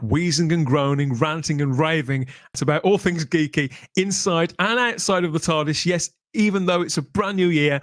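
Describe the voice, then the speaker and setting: A man's voice: a little high, dry recording, clean studio sound. A man presenting a podcast in a chatty, wordy, colloquial way, like radio patter. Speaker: podcast host.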